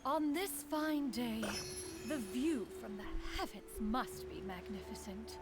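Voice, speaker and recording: An actress voicing a dignified ancient crane spirit in woman form, speaking formally and calmly. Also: fairly high pitch, measured pace. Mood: stately. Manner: formal, stately, refers to herself as one. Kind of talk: game voice lines. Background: game music.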